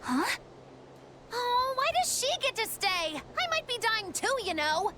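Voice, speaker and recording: A woman's voice: extremely high pitch, big pitch swings, unhurried, very noisy, full character voice. An actress voicing a whiny, theatrical witch in a video game, with a melodramatic, grandiose way of talking. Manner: melodramatic, grandiose old-fashioned phrasing. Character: theatrical, whiny witch who dramatizes her own doom.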